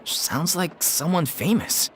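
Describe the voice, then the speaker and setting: A man's voice: high voice, slow, a bit bright, a little hiss or hum. A man playing an earnest, polite member of an amateur theater troupe who sounds worried and hesitant, in a video game cutscene. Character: earnest, anxious young troupe actor, polite. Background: game score music.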